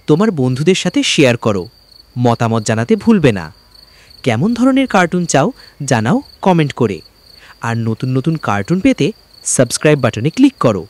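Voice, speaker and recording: Lively channel promo announcer, male. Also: mid-range voice.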